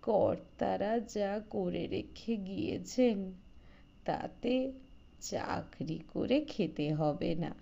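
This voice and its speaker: A woman plays an elderly village grandmother from a storybook, tearful and doting. She pleads very slowly, in a soft, mellow tone. The sound is a little dull. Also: mid-range voice, vocal fry, full character voice. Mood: tearful pleading. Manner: slow, emotional pleading.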